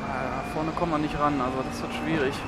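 Young male sim racer, high voice, slightly stuffy from a cold, loud engine roar behind his headset mic. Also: steady tone, fast talker. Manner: thinks aloud, calculating fuel and laps. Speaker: sim racing streamer. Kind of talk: live racing commentary while driving. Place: home streaming setup, indoors. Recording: loud engine noise from game.

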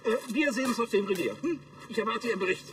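An actor playing a self-important police chief who stammers and repeats himself in a TV comedy. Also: male voice, high voice, lively intonation, fast talker. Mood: pompous, flustered. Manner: stammering, repetitive, pompous. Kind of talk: naturalistic TV comedy acting.

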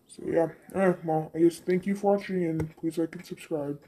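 A casual unboxing vlogger speaks through a voice changer in a dark, mellow tone at middling pitch. The delivery is offhand and halting. Faint noise sits behind the voice. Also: steady tone, poor audio. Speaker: video creator presenting an unboxing. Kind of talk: casual chat. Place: indoors at a tabletop.

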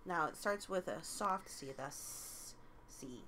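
A woman's voice: fairly low pitch, expressive, slow, sharp tone. A woman casually helping a child read aloud, encouraging and prompting with questions. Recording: clear background noise.

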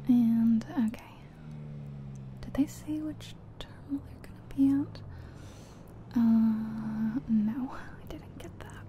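A soft-spoken woman doing an ASMR roleplay as a friendly, chatty taxi driver, in a gentle, whispery delivery. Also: fairly high pitch, slow, long pauses, highs cut off. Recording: faint background noise of game car sounds. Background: car sounds from a driving game.

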